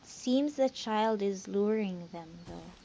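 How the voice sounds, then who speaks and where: Unhurried woman gamer, slightly dull microphone. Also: medium pitch, vocal fry, mellow. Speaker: gamer playing through a game. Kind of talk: casual playthrough commentary. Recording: dull lo-fi mic with faint noise.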